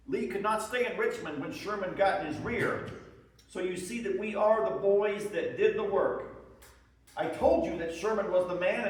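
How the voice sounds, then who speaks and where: A man giving a formal, declamatory dramatic reading of a Civil War soldier's letters. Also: high voice. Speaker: presenter reading historical letters aloud. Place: large room at a live presentation. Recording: very echoey room, far from the mic.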